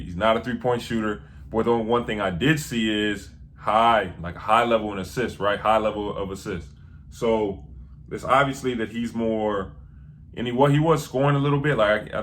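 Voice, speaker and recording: Young male host, casual and rambling, a touch low-pitched, faint room hum behind. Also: a bit bright. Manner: rambling, many fillers and restarts. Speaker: basketball film analyst host. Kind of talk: casual talk to camera. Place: small indoor room.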